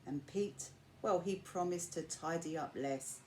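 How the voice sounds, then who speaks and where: A woman reads a rhyming children's picture book aloud. Her voice sits a little low and she goes slowly, drawing out key words with expressive phrasing. There is noticeable noise behind her. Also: poor audio. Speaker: storyteller reading to school pupils. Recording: clear background noise.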